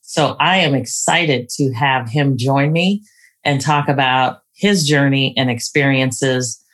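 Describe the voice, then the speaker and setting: A woman's voice: very deep voice, slow. A woman hosting an interview podcast, introducing the show and putting questions to a guest. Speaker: podcast host.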